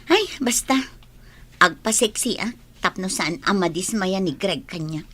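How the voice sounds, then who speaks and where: A woman's voice: mid-range voice, animated, a little slow, a bit bright, slightly rough. A woman playing a stubborn, vain daughter in an audio drama, talking in a whiny, defiant way. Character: stubborn, vain daughter fussing over her looks.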